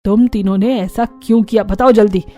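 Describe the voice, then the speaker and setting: A woman's voice: mid-range voice, fairly even intonation, fairly quick, warm tone, faint music behind, close to an everyday voice. A woman voicing a strict, suspicious middle-aged mother-in-law in a cartoon family story, questioning and scolding. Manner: scolding, interrogating.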